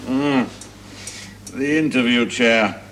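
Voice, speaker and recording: A man's voice: mid-range voice, fairly even intonation, takes it slowly, lightly acted. A man acting in a 1970s television drama as an awkward, earnest inventor, hesitant but insistent. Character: earnest, awkward, pleading male engineer and inventor. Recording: noisy 1970s television soundtrack.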